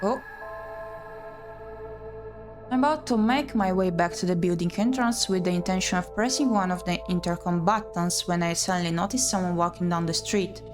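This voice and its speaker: A woman's voice: on the low side, moderate pace, over music. A woman reading a first-person story aloud in a dramatic narrating style for a game stream.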